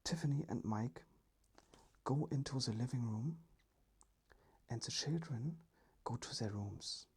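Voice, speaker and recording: A storyteller reading a children's story in a hushed, careful ASMR whisper. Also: mid-range voice, unhurried, pauses often, breathy edge.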